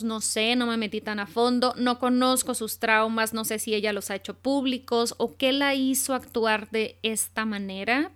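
A woman hosting her podcast, talking in a chatty, animated way with fillers and self-corrections. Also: fairly high pitch, smooth. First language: Spanish. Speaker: podcast host. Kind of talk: casual monologue.

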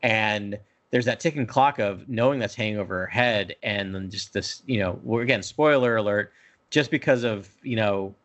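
A man's voice: on the low side, moderate pace, a bit bright. A man co-hosting a casual podcast review, eager and often checking for agreement, on slightly muffled audio. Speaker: podcast co-host. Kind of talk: casual book review discussion. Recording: dull lo-fi audio.

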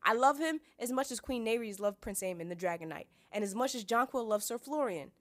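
A woman's voice: fairly high pitch, expressive, fairly quick, a bit bright, pitched above the performer's own voice. A woman voicing a petulant, lovestruck young noble girl from a novel, wailing dramatically and insistently. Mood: lovesick pleading. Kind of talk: acted audiobook-like reading from a novel.

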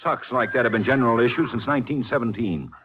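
Male, fifties, mid-pitched stern embittered officer as an actor plays him, defensive, dull old radio. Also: fast talker, noisy background, lightly acted. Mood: indignant. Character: gray, embittered army major in his fifties. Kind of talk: 1950s western radio drama acting. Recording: muffled old radio recording.

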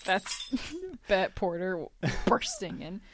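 Animated woman podcast co-host, lively pitch. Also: takes it slowly, background noise. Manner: playful, animated. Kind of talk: casual comedic banter.

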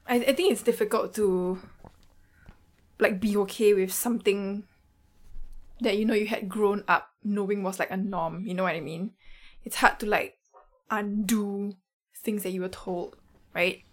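A woman hosting and producing a podcast, reading a measured, earnest intro and then chatting with her guest. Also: mid-range voice, fairly even intonation. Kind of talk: scripted podcast intro then conversational interview.

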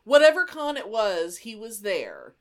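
A woman chats as a podcast co-host, spinning a story in an informal, emphatic style. Her pitch moves around a great deal as she talks. She swears freely and leans hard on key words. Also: huge pitch swings, measured pace. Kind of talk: casual reminiscing chat.

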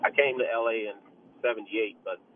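A man's voice: on the low side, steady tone, brisk. A middle-aged or older American man from Pittsburgh calling a sports radio show on the phone, chatting about football in a rambling way. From Pittsburgh, USA. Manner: rambling, peppered with "you know". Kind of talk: casual football talk. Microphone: telephone. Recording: tinny, noisy phone line.

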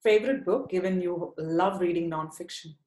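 A woman from India with an Indian accent, hosting a podcast interview and leading rapid questions with thanks. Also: fairly low pitch, a little mellow, roomy sound. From Chennai, India. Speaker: podcast host.

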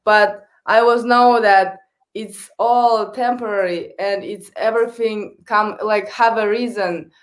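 A woman's voice: medium pitch, fairly even intonation, slow pace. A young adult woman from Kazakhstan, a native Kazakh speaker with a Kazakh accent, answering interview questions hesitantly in English as a hockey coach. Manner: hesitant, searching for words in non-native English.

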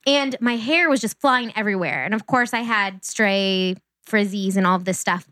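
A woman's voice: mid-range voice, normal speed, pushed and bright, no room sound. A young woman co-hosting a casual podcast, chatty and laughing often. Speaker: podcast co-host. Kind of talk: casual chat. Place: near Cleveland, Ohio.

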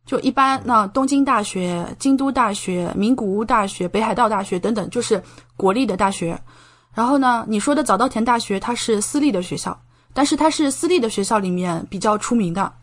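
A woman's voice: on the high side, a little fast. A young woman from China, a doctoral student speaking as an interview guest, explaining things in a conversational way. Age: young adult.

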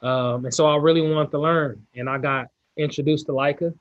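A young man from California, a football player answering interview questions in a relaxed, laid-back way. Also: fairly high pitch, brisk, no echo, dull audio. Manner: relaxed, laid-back, repeats short agreements.

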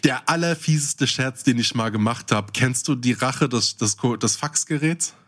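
A man's voice: mid-range voice, normal speed, a bit bright. A man chatting casually as the co-host of a podcast. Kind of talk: casual chat.

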